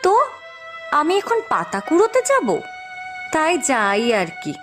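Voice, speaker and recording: A woman as a whiny, high-voiced vain young girl. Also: huge pitch swings, measured pace, fairly bright tone, soft background music, exaggerated voice. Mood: irritated. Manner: whiny, petulant, dismissive. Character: vain, lazy, haughty beautiful young village daughter.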